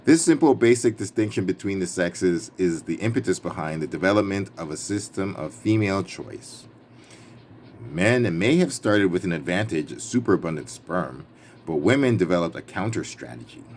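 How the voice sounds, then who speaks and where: A man's voice: sits low, animated, normal speed, a little mellow. A man reading a book aloud in an emphatic, playful manner, breaking away for casual, wry asides of his own. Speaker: reader and commentator. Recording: clearly noisy background ambience.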